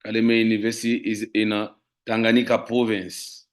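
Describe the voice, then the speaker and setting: A man from the DR Congo with a Congolese French accent, giving a formal presentation as a lawyer and teacher in careful, halting non-native English. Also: fairly low pitch, flat delivery. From Democratic Republic of the Congo. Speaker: lawyer and university teacher, guest speaker.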